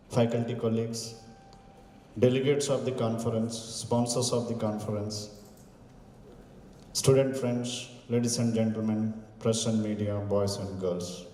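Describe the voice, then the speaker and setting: A man's voice: lowish voice, dark tone. A man gives a formal welcome speech at a university conference and ceremoniously greets the dignitaries. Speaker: university faculty member giving welcome address. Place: large conference hall. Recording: echoey hall, distant mic.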